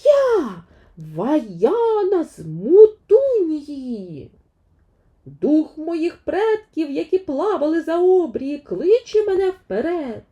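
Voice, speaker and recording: A woman's voice: high-pitched, sing-song, slow pace, dark tone, muffled recording, pitched above the performer's own voice, heavily acted. A woman voicing a brave, determined teenage island girl in an emphatic, earnest way for a children's fairy tale. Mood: proud, resolute.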